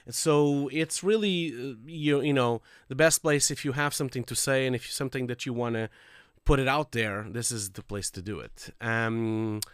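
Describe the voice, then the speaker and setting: A man's voice: mid-range voice, moderate pace, clear, resonant tone, no echo, clean studio sound. Stuffy, nasal man chatting on a stream. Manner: chatty and upbeat, addressing viewers directly. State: congested from a cold, audible breathing. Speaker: host of a livestreamed call-in show. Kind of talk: casual livestream chat. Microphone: close streaming microphone.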